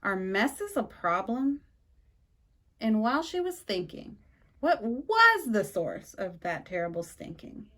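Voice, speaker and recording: A woman reading a rhyming picture book aloud for storytime, with a lively sing-song delivery and slow, emphatic pauses. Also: medium pitch. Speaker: storytime reader.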